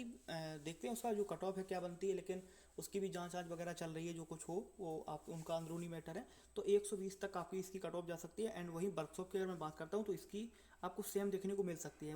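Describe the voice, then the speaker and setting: एक युवा पुरुष, परीक्षा तैयारी चैनल का होस्ट, दर्शकों से अनौपचारिक अंदाज़ में बात करते हुए, रुक-रुक कर और अंकों को दोहराते हुए समझाता है।. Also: male voice, high-pitched, dark tone. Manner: explanatory, repeats numbers, frequent fillers. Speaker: exam-prep channel host. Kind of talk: casual talk to viewers.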